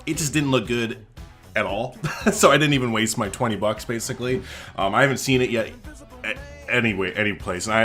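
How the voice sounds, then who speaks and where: A man hosting a gaming video, giving informal let's-play commentary with jokey asides. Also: fairly low pitch.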